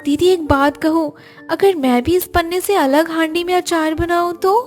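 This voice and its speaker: Woman voicing a high-pitched, eager young Indian housewife. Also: steady tone, moderate pace, a little mellow, soft background music. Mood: playful. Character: eager inventive young Indian daughters-in-law chatting about pickles. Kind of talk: Hindi family cartoon dialogue.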